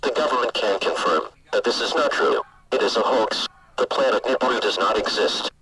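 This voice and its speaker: A man playing a formal, measured government spokesman reading a scripted emergency broadcast announcement. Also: fairly low pitch, expressive, heavy background noise, natural voice. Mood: formal, firm.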